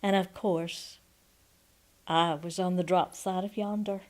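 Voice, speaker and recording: A woman in her sixties reading a folksy memoir aloud as a storyteller, expressive and deliberate, with pauses. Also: lowish voice. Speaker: storyteller and audiobook narrator. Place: quiet room at home, indoors. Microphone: microphone on a stand before her, seated.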